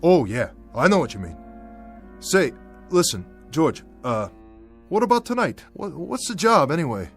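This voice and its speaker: An actor playing a nervous, meek small-time crook, stammering and pleading in a radio drama. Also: male voice, on the high side, big pitch swings, moderate pace. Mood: anxious, whining. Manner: stammering, repetitive, anxious, pleading. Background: music.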